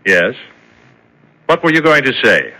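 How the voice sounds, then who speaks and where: A calm, cruel prison boss who lectures his deputy in clipped lines. His voice is bright and cutting, rising and falling freely. It sounds like an old radio play. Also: medium pitch, a little slow, poor audio. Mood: cold. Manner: condescending, clipped. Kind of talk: 1970s radio drama acting. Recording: old radio drama recording.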